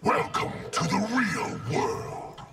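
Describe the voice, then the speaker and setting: A man's voice: slow pace, loud music behind the voice, natural voice. A man voicing a menacing video game warrior made of many souls, speaking in grave declamations. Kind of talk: video game character acting.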